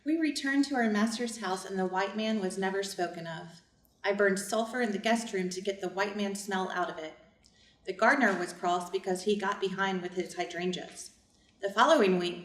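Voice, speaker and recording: A woman's voice: mid-range voice, fairly quick, close to an everyday voice. A woman playing a household servant who narrates a staged reader's theater drama in plain, observant scripted narration. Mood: reflective. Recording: distant, echoey, faint room noise.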